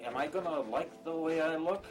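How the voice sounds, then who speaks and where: A man's voice: a little high, normal speed, close to an everyday voice. A man playing a nervous, hesitant fugitive patient in an audio drama, plain-spoken and uneasy. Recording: music behind the voice.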